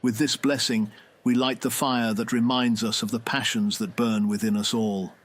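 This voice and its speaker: A man's voice: medium pitch, little pitch movement, moderate pace, lightly acted. A man playing a benevolent village council leader in a fantasy audio drama, giving a formal, oratorical blessing. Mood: solemn, welcoming.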